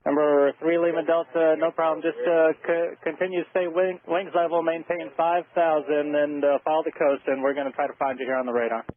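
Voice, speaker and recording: A man working as an air traffic controller, giving procedural instructions over aviation radio in a steady way with halting pauses. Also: a little high, narrow, tinny audio.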